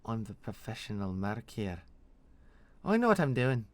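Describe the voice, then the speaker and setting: A man voicing a ruthless, sharp-tongued mercenary woman who mocks and menaces, with a put-on accent, in a fantasy story. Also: fairly low pitch, sing-song, normal speed, dry recording. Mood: mocking, scornful. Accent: put-on foreign fantasy accent.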